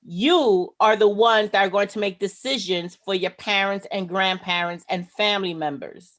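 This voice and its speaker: A woman speaking as a guest on a video call, delivering a motivational talk in a slow, emphatic, earnest way. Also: medium pitch, a bit bright. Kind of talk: motivational speech.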